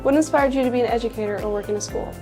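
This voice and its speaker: A woman interviewer reading her questions rapidly. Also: fairly high pitch, a little mellow, faint music behind.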